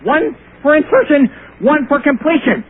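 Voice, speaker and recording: A man's voice: very high-pitched, sing-song, moderate pace. A man calling in to a radio show, ranting and arguing in an indignant, self-righteous, preachy manner. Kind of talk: argumentative phone-in ranting. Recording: tinny phone line with hiss.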